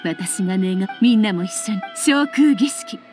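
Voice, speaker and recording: A woman playing a poised, calculating woman leader in an anime game, speaking in a composed, elegant, deliberate way. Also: mid-range voice, expressive, over music, lightly acted. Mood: calm, assured. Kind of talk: Japanese anime game voice acting.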